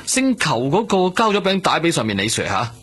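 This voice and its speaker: A man's voice: a little high, sing-song, brisk, fairly bright tone, husky, heavily acted. A man acting a cautious, exasperated police superior in a radio drama, grumbling in a Hong Kong Cantonese accent. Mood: wary, exasperated. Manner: grumbling, admonishing. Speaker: actor.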